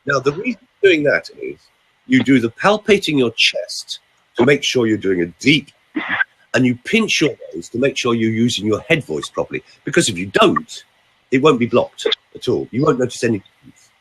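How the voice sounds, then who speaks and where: A man working as a vocal coach, demonstrating voice exercises on a podcast, swooping between high and low notes as he shows the sounds. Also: big pitch swings, normal speed, fairly bright tone, husky, low-quality recording.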